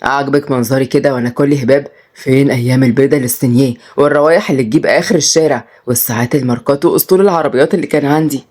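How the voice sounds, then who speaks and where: A man's voice: mid-range voice, rapid-fire, darkish tone, voice pushed down, natural voice. A woman voicing a teasing but tender husband in an Egyptian Arabic story, with an Egyptian accent. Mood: mock annoyed. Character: adult husband, teasing, tender, reformed rich man turned mechanic.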